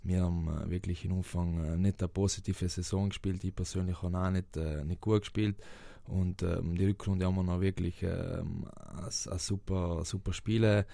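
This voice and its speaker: A man's voice: low voice, steady tone, moderate pace, vocal fry, dark tone, no echo. A young man from South Tyrol with a South Tyrolean German accent, answering interview questions hesitantly with many fillers and restarts. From South Tyrol, Italy. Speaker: interviewed footballer.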